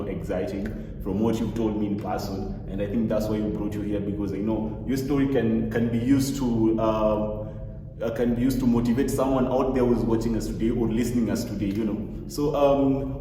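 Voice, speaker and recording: Low-voiced Kenyan podcast host in echoey room. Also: male voice, dark tone. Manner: friendly, rambling with fillers. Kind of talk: casual podcast interview. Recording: echoey room, distant mic, faint noise.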